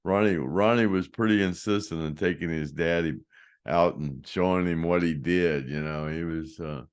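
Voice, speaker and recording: Older guy, real deep low voice, rambly storytelling, keeps restarting sentences. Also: normal speed, clean tone. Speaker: host, former band roadie. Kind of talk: casual storytelling chat.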